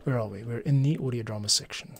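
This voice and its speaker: A man's voice: medium pitch, quick pace, a crowd in the background. A middle-aged man thinking aloud in casual commentary as he edits audio. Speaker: podcast audio editor, streamer. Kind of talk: casual work-along commentary. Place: small home studio room. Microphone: microphone on arm, close to mouth. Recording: clear keyboard and mouse clicks.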